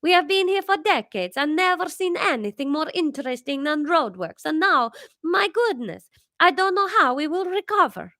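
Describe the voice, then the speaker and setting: A woman voicing a warm, earnest mother with an Italian accent, reading her story aloud. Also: high-pitched, sing-song, normal speed, no room sound, studio quality, voice pushed up, heavily acted. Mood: gushing. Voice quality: shaky, overdone accent attempt.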